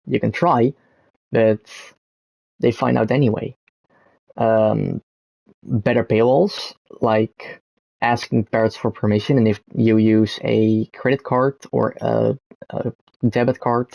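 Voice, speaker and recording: A man's voice: on the low side, gravelly, warm tone, dry recording, low-quality recording. A young man from the Netherlands with a Dutch accent, rambling to camera with many ums and self-corrections. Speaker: content creator. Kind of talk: unscripted talk to camera.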